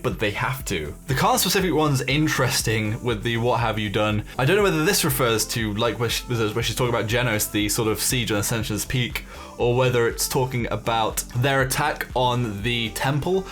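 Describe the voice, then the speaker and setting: A man's voice: mid-range voice, moderate pace, fairly bright tone. A man hosting a gaming video, giving casual, speculative news commentary in an informal, tentative way, as if thinking aloud. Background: music behind the voice.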